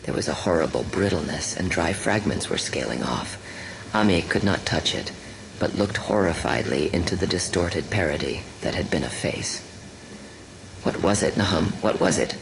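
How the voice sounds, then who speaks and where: A woman's voice: extremely low pitch, animated, moderate pace, vocal fry, noisy background. A woman narrating a horror story as a scripted, dramatic audiobook reading.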